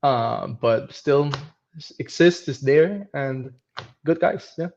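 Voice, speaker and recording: A man's voice: mid-range voice, slow, vocal fry, darkish tone, highs cut off. A man in his thirties from Venezuela, a podcast guest chatting casually and hesitantly, with many restarts. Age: 30s.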